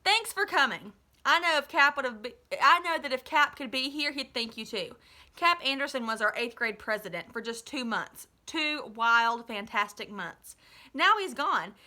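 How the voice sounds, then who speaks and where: A confident eighth-grade boy from a storybook voiced by a woman reader, bright and fairly high. He speaks calmly, declaiming like someone giving a speech. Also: normal speed, full character voice. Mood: solemn, rallying.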